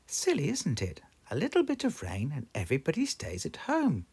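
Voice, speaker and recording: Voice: medium pitch, wildly swooping pitch, normal speed, a little mellow, husky, voice pushed up, full character voice. A performer voicing a breezy, chatty female rabbit shopkeeper in a children's storybook.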